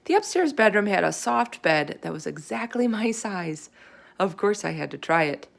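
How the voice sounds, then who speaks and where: A woman reading a children's chapter book aloud in expressive narration. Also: mid-range voice, moderate pace, creaky. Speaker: storybook reader.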